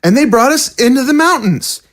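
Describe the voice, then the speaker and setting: A woman's voice: high-pitched, animated, normal speed. A woman playing an indignant young undead man in an audio drama, talking in a blunt, colloquial way. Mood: indignant, pleading. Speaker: voice actor. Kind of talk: scripted audio drama acting.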